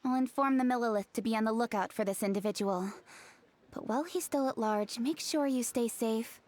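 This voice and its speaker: A woman's voice: on the high side, fairly quick, darkish tone, natural voice. A woman playing a poised, courteous young noblewoman in an anime-style video game, speaking formally and politely. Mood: serious.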